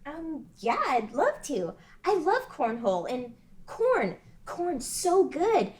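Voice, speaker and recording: A woman voicing an excitable, awkward teenage witch in a casual table read. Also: high voice, slow pace, full character voice. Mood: rambling. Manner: bubbly, rambling and awkward. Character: clumsy, excitable young witch girl.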